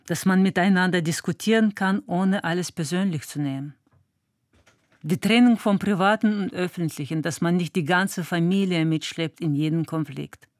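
A woman giving measured, thoughtful answers as an interviewee. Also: lowish voice, darkish tone, dry recording, clean studio sound. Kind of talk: calm reflective answers.

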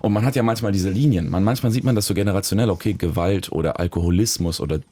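A man, a musician, talking reflectively in an interview, searching for words with pauses and restarts. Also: deep voice, warm tone, no echo. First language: German. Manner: thoughtful, searching, with fillers and restarts. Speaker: musician guest.

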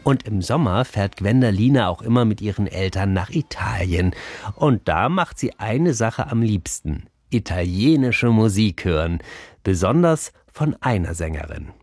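A man's voice: fairly low pitch, lively intonation, unhurried, a little mellow, no echo. A man presenting and narrating a children's program in a friendly storytelling way. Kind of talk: scripted narration for kids.

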